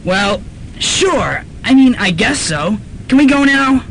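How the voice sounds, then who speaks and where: An exasperated young male revolutionary voiced by a woman, protective and very animated in pitch. It is student radio acting with faint noise on the tape. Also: slow pace, vocal fry, heavily acted. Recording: faintly noisy old radio recording.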